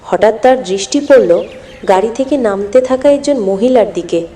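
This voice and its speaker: A woman's voice: mid-range voice, lively intonation, moderate pace, warm tone. A woman narrating a scripted moral story in an earnest, dramatic storytelling manner. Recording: long hall-like reverb.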